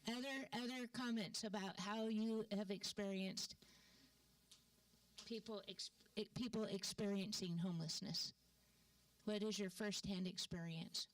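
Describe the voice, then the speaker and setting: A soft-spoken woman moderating a community forum, handing the mic along and inviting comments. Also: medium pitch, fairly even intonation, measured pace, no echo, distant mic, poor audio. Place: church hall at a community meeting.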